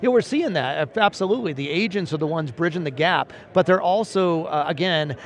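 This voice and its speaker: A man's voice: fairly high pitch, a little fast. A man in his forties, a principal analyst, steadily explaining research findings in a seated conversational interview at a convention booth. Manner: steady explanatory, cites statistics, frequent "right?". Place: busy convention show floor booth.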